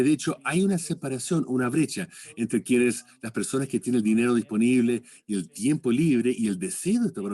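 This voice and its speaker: Husky-voiced man, halting lecture delivery. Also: medium pitch, warm tone. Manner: halting, searching for words and rewording.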